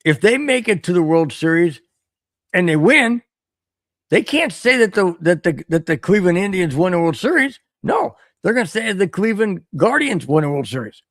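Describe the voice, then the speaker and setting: Riled-up man ranting solo, voice going hoarse. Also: high-pitched, normal speed, no echo, studio quality. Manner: animated, repeats himself, riled up. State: voice getting hoarse. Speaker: podcast host. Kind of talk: solo livestream rant reading chat.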